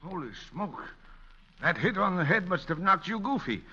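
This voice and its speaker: High-pitched, blustering tipsy con man. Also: expressive, normal speed, sharp tone, breathy edge, exaggerated voice. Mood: flustered, chummy. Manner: stammering, hesitant, interjecting bursts. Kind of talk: 1940s radio comedy acting. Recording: dull, lo-fi vintage radio recording.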